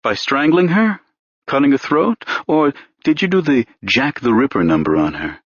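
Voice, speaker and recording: A man's voice: medium pitch, very animated, normal speed, slightly rough, no echo, voice pushed up. A man voicing a male police detective who presses and mocks a suspect, speaking in a pushy, sarcastic way in an audiobook reading. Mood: mocking. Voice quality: mocking, pushy. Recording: dull, lo-fi dry recording.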